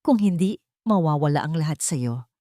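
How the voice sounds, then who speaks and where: A woman's voice: low voice, expressive, moderate pace, mellow, no echo. A woman narrator puts on a haughty rich villainess, gloating and sure of victory. It is storybook melodrama, recorded dry and close. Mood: gloating, threatening. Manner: haughty, triumphant. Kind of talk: audio storybook drama.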